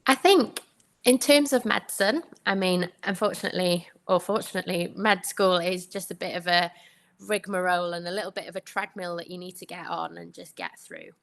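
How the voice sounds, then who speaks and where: A woman's voice: on the low side, brisk, gravelly, fairly bright tone. A young woman with a Welsh accent, Welsh her first language, answering interview questions in a chatty way, laughing often between her points. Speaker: guest, anaesthetics trainee.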